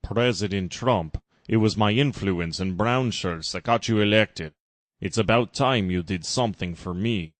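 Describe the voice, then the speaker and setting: A man voicing a scheming, manipulative male villain, measured and commanding, in a parody story. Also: lowish voice, dry recording, lightly acted. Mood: calculating.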